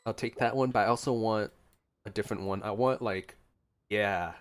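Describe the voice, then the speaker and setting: A man hosting a gaming playthrough, reacting in casual, emotive commentary with gushing exclamations and mellow asides. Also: fairly low pitch, fairly even intonation, moderate pace, smooth. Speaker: gaming video host.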